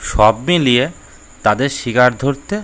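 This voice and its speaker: A man with a mid-pitched voice tells a children's animal fable. He reads as a storyteller in short, measured phrases, with faint music underneath. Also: a bit bright, clean tone. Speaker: storyteller, narrator. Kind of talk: scripted children's fable narration.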